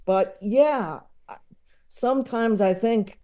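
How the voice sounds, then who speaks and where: Mellow-voiced woman, halting casual talker. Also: medium pitch. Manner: halting, searching for words. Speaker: podcast guest. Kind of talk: casual chat.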